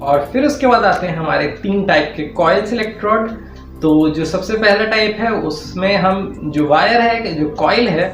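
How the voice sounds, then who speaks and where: Indian man, high voice, methodical lecturer, repetitive phrasing, roomy echo. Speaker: physiotherapy teacher. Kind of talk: explanatory lecture with Hindi-English technical terms.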